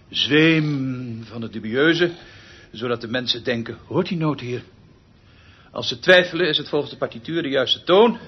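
A pompous, eccentric male conductor addresses his orchestra with theatrical pedantry. His husky voice swings widely in pitch and pauses for effect. The radio play recording is dull and muffled. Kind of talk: radio drama acting.

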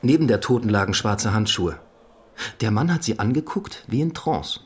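Male police investigator, low husky voice, terse and factual, reporting in a radio drama. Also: expressive, moderate pace. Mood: matter-of-fact. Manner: terse, matter-of-fact. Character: male police investigator, matter-of-fact, reporting to his superior. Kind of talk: German radio crime drama acting.

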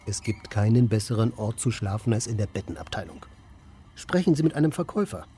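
A man acting in a radio play as an aimless, sardonic loiterer who speaks in a laconic, provocative, deadpan way. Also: lowish voice, brisk, breathy edge, exaggerated voice. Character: aimless idle man, sardonic, stubborn department-store loiterer. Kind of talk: German radio play dialogue and first-person narration.

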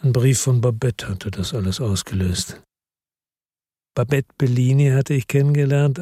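An actor playing a world-weary police chief, narrating in a slow, measured, tired way in a radio drama. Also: male voice, medium pitch, mellow, husky, dry recording, clean studio sound, natural voice. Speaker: first-person narrator.

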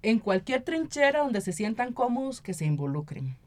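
A woman's voice: on the high side, sing-song, moderate pace. A woman with a Costa Rican Spanish accent speaking as an activist guest in an interview, explaining things and often checking in with a short tag question. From Costa Rica. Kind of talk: conversational interview.

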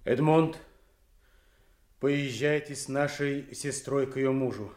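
An actor playing a cruel, commanding duke in a classical audio tragedy, menacing and wrathful. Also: male voice, mid-range voice, steady tone, slow, darkish tone, natural voice. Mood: cold command. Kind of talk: classical stage tragedy acting. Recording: roomy, noisy old radio play recording.

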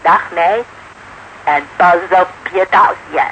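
A woman's voice: on the low side, takes it slowly, fairly bright tone, highs cut off, slight background noise. An actress playing a brash, defiant, sarcastic woman in a Dutch radio drama, talking in a dismissive, taunting way.

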